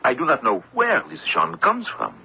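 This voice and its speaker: An actor playing a hard, suspicious wartime French official in a radio drama, arguing with emphatic insistence. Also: male voice, a little high, wildly swooping pitch, moderate pace, gravelly, breathy edge, heavily acted. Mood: insistent. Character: hard, suspicious French occupation-era official, middle-aged, relentless skeptic. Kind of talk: old-time radio drama acting. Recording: dull lo-fi radio broadcast recording.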